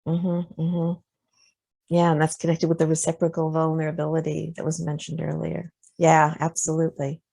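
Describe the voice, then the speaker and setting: Relaxed lower-voiced woman leading a workshop. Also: normal speed, creaky. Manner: relaxed, conversational, with frequent fillers. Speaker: presenter leading a discussion. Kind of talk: online workshop discussion. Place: video call.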